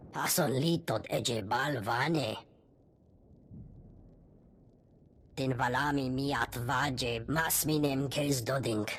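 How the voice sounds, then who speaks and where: A woman's voice: very deep voice, exaggerated voice. An actress voicing a female alien who speaks an invented tongue in a video game. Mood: measured, grave.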